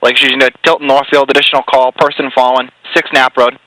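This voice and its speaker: Fast, bright-voiced male radio dispatcher. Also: medium pitch, flat delivery, no echo, poor audio. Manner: clipped, procedural. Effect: two-way radio. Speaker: emergency fire dispatcher. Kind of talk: radio dispatch, repeating calls in clipped procedural phrases. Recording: compressed radio dispatch audio with hiss.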